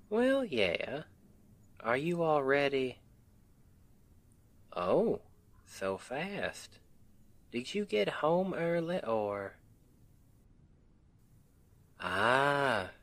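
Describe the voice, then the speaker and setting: A man's voice: fairly low pitch, fairly bright tone, full character voice. A man playing a sweet, devoted Southern husband in an intimate ASMR roleplay, tender and affectionate, with a Southern US accent.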